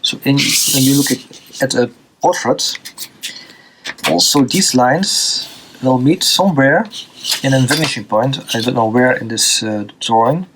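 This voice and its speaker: Dutch-accented man, halting drawing tutorial. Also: mid-range voice, fairly bright tone, slight background noise, rough recording. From Belgium. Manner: halting, many fillers and restarts while drawing. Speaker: art teacher.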